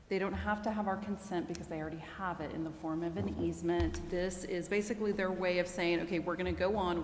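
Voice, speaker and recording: A woman legal adviser explaining a matter to a public meeting in a measured way. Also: on the low side, a little mellow. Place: council chamber. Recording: very echoey hall, faint noise.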